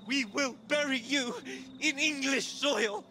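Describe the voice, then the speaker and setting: An actor playing a contemptuous, menacing British imperial villain in a game cutscene, sneering and theatrical. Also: male voice, very high voice, takes it slowly, sharp tone, slight background noise, full character voice. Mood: bitter, defiant. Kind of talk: video game cutscene acting.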